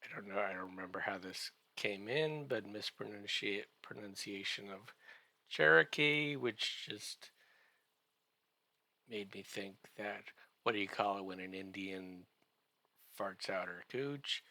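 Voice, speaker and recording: A man giving a comedic podcast recap in a halting, drawn-out way, full of wry joking asides. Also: lowish voice, bright, forceful tone, dry recording.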